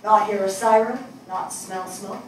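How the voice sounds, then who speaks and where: An older woman acting a grieving, resolute New Yorker in a dramatic stage reading, speaking in emphatic, deliberate short phrases. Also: mid-range voice, fairly even intonation, natural voice. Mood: weary. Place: indoor theatre stage. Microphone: distant camera. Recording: echoey stage, distant mic, faint noise.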